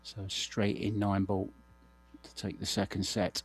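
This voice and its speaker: A man giving measured, understated live commentary on a billiards match, his voice slightly croaky. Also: fairly low pitch, completely flat delivery. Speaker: sports commentator. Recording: broadcast with clear background hum.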